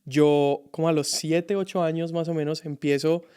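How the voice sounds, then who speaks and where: A man from Colombia, a youth pastor telling his story as a podcast guest, reflective and halting. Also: fairly high pitch, flat delivery, warm tone, clear, resonant tone. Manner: reflective, halting with many restarts. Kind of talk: casual podcast conversation.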